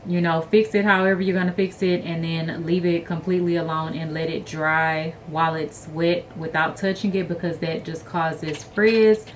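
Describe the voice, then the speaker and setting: A woman's voice: fairly low pitch, fairly even intonation, normal speed, few pauses. A young woman, chatting upbeat to viewers and calling them y'all. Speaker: hair vlogger. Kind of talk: casual vlog chat. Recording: distant mic with light room noise.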